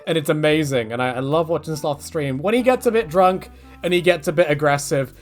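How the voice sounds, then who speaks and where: A man's voice: a little high, fairly quick, faint music behind. A man casually answering viewer questions in a rambling way, with many fillers and restarts.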